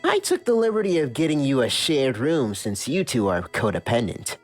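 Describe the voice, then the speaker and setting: A woman voicing a polite, slyly sharp-tongued cartoon cat with a smooth, courteous manner, in a comic dub. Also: extremely low pitch, sing-song, moderate pace, soft background music, heavily acted. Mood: dryly barbed. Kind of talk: animated comic dub acting.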